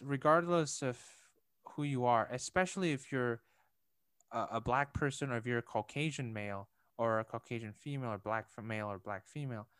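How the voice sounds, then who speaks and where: A young man from Indonesia talks things through in a relaxed conversation, pausing with fillers and restarting his sentences. His voice is smooth and resonant. It is recorded dry and close, with no room sound. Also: mid-range voice, no echo. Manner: thoughtful, hesitant, many fillers and restarts, laughs lightly. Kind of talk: casual conversation.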